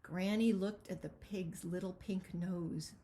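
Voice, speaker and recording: A woman's voice: on the low side, fairly even intonation, takes it slowly, dark tone, breathy edge. A woman who writes picture books, speaking expressively to children as she introduces and reads a storybook, with emphasis on key words. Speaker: children's picture book author. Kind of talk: chatty introduction and animated storybook read-aloud.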